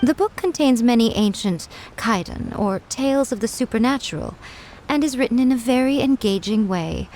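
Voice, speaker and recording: A woman playing a poised, sly kitsune publisher in video game dialogue, speaking in a self-assured, teasing and deliberate way. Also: on the high side, animated, darkish tone, slight background noise, rough recording. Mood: composed, explaining. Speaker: chief editor of a publishing house.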